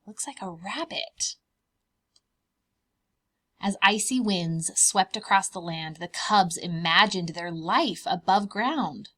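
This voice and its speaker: A woman preschool teacher reading a children's storybook aloud in a deliberate, expressive, child-directed way. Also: mid-range voice.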